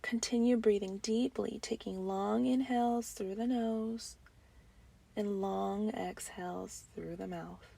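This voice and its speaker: A woman leading a guided breathing meditation, gentle and soothing, with soft breathy phrases that often trail into a near-whisper and long pauses. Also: mid-range voice, fairly even intonation.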